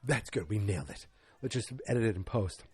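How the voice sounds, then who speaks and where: A man's voice: on the low side, fairly quick, husky, dry recording, soft background music. A man hosting a casual solo movie-review podcast, rambling and sarcastic, often interrupting himself. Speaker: podcast host.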